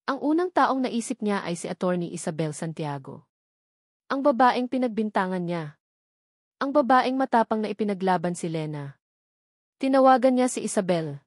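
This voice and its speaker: A woman's voice: mid-range voice, darkish tone, no echo. Woman narrating a story, very dry sound. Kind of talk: scripted dramatic story narration, reading dialogue herself.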